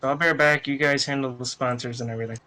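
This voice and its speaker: A man's voice: mid-range voice, fairly even intonation, quick pace, bright, forceful tone. A man chatting bluntly and casually as a panelist on a podcast. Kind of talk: casual chat.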